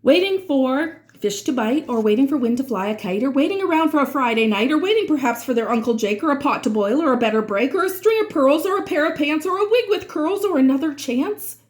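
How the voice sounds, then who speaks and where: A woman reading rhyming verse aloud for children in an expressive, dramatic way, drawing out certain words. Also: sits high, a little fast, hardly any pauses. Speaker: children's read-aloud reader.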